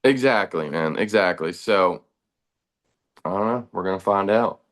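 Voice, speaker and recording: A man in his thirties, a band's bass player, talking in a relaxed, rambling way during a casual chat. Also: a little slow. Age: 30s.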